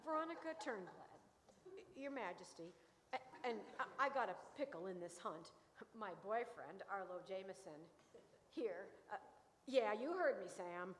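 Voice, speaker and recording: A woman's voice: mid-range voice, expressive, a little slow, close to an everyday voice. A woman playing a brash, combative, needling woman in a comedic radio play. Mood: defiant. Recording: echoey hall, clear background noise.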